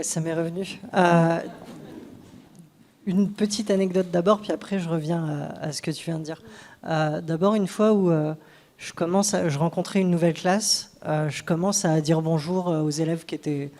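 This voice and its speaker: A woman's voice: fairly low pitch, warm tone. A woman who works as a teacher tells a story on a panel, stopping often and searching for her words. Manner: hesitant, searching for words, many fillers. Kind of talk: casual panel talk.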